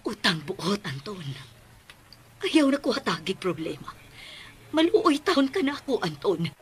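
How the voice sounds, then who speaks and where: A woman's voice: mid-range voice, sing-song, measured pace. A woman in a radio drama playing a firm, scheming mother of a grown son, emphatic and coaxing in manner. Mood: stern, pleading.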